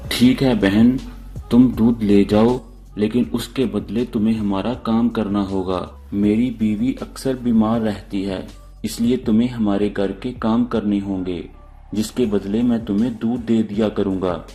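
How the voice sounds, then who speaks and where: A man's voice: on the low side, steady tone, a little slow, dark tone, faint music behind, natural voice. A man voicing a brotherly but businesslike village milk owner in a moral story, matter-of-fact in manner.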